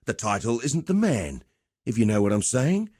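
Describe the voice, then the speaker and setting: Game role: cheerful fight promoter, swaggering and theatrical, hugely animated delivery, mid-pitched, very dry sound. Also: male voice, moderate pace, husky, no echo. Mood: swaggering welcome. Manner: theatrical, chummy, flowery slangy patter. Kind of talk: video game character voice lines.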